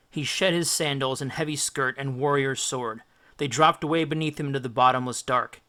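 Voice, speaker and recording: Bright-voiced man narrating a dramatic storybook. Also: mid-range voice. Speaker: audiobook reader.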